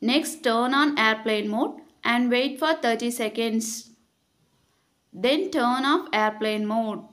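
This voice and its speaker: High-voiced woman narrating a tutorial. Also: fairly bright tone. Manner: instructional, measured, reading from a script. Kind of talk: scripted step-by-step tutorial narration.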